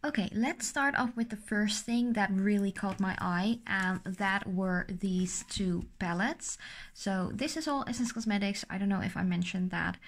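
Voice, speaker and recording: A woman's voice: medium pitch, measured pace. A young adult woman whose first language is Dutch, chatting casually through a makeup unboxing with a sore throat and a rambling, filler-heavy manner. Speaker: beauty vlogger.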